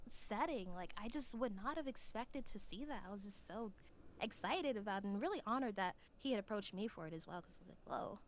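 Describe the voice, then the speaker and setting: A woman with a mid-pitched voice talks casually as an interview guest. She is a voice actor and tells her stories in an animated, giggly manner. There is some faint noise behind her. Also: a little fast, low-quality recording.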